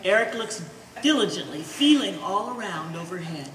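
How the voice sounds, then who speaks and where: A woman's voice: fairly low pitch, expressive, moderate pace, fairly bright tone, recorded from a distance. Woman reading stage directions, echoey hall. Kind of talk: scripted narration of stage directions. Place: art gallery performance space. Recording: echoey hall from afar, faint noise.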